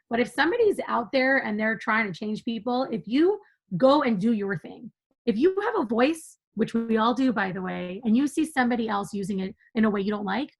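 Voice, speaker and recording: A woman's voice: a little high, slightly rough, rough recording. A woman guest on a podcast, speaking with passion and emphasis in a conversational interview, laughing now and then.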